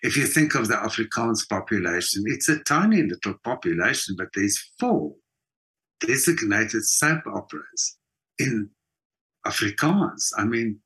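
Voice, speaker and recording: A man's voice: fairly low pitch, animated, unhurried, bright, forceful tone. A man with a South African accent giving an opinionated, emphatic answer in a conversational interview. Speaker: interview guest, theater actor and singer.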